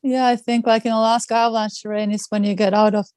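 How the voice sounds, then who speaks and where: A woman's voice: a little high, level tone, fast, a little mellow, no room sound. A middle-aged woman from Finland with a Finnish accent, chatting casually as an interview guest. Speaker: podcast guest, outdoor educator.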